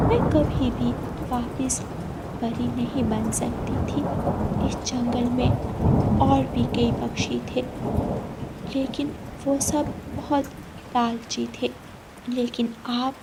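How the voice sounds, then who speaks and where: A woman voicing a gracious, grateful fairy in a cartoon fable, gentle and solemn. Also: a little high, noisy background. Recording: loud rain and thunder behind.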